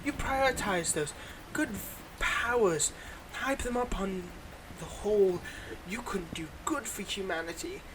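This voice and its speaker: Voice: mid-range voice, measured pace, close to an everyday voice. A performer in an audio roleplay playing a theatrical, sarcastic supervillain who teases and grumbles with mock condescension, over a noisy background. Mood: indignant, chatty. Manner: mock-condescending, dramatic, chatty asides. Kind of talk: scripted audio roleplay monologue.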